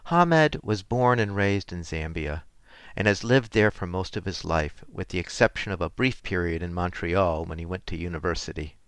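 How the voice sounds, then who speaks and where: A man hosts a community radio interview program in a measured, read-aloud way. His voice sits a little low, and the studio sound is close and dry with no room echo. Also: clean tone. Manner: measured, scripted introduction. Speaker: radio show host.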